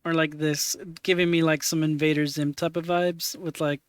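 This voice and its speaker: A man in his twenties hosting a stream, chatting casually in a halting way with many fillers while he works on screen. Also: on the high side, level tone, clear, resonant tone, no echo. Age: 20s. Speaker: streamer host. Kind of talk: casual chat.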